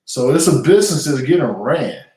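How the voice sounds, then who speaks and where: A man's voice: mid-range voice, lively intonation, moderate pace, dark tone. A middle-aged man, a retired boxer now in business, answering interview questions in an earnest, conversational way with frequent fillers and restarts. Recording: echoey room audio, voice from far off the mic.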